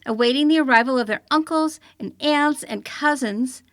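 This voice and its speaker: High-pitched woman reading a story aloud. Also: normal speed, a bit bright, dry recording, clean studio sound. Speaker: story reader. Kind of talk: expressive storybook read-aloud.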